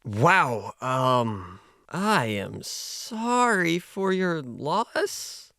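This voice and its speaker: A man's voice: a little high, very animated, very slow, gravelly, pushed and bright, dry recording, clean studio sound, full character voice. A man voicing a hapless, broke young man lost in another world, dramatic and self-pitying, in an anime parody dub. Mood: baffled.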